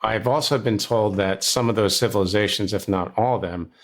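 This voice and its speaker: A man's voice: lowish voice, a little fast. A man hosting an interview podcast, asking curious, conversational questions with a light touch of humor. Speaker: podcast host.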